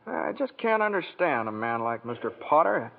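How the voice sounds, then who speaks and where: A man's voice: fairly high pitch, big pitch swings, normal speed, gravelly, in a large hall, narrow, tinny audio. An actor playing a restless young man dreaming big, earnest and often stammering, in 1940s radio acting, on a scratchy 1940s radio broadcast. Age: young adult.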